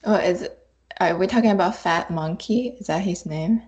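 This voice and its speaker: A woman chatting casually as a podcast guest, speaking haltingly in short fragments. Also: lowish voice, darkish tone, lo-fi sound. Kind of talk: casual chat.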